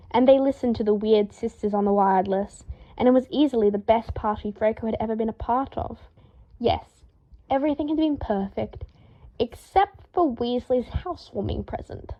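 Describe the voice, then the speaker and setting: A woman reading fiction aloud as an audiobook narrator, with expressive scripted delivery. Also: fairly high pitch, dull audio.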